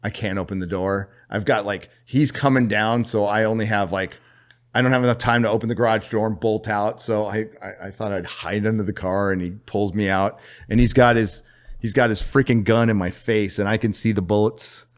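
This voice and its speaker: A middle-aged man from California telling a story as a podcast guest, animated and profane, laughing as he goes. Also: on the low side, fairly quick. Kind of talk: casual storytelling.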